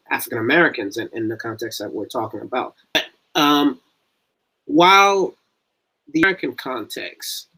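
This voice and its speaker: Hesitant academic man with a mid-pitched voice. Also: sharp tone, breathy edge, low-quality recording. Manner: hesitant, searching, with frequent pauses. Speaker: panelist, academic. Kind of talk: scholarly panel discussion.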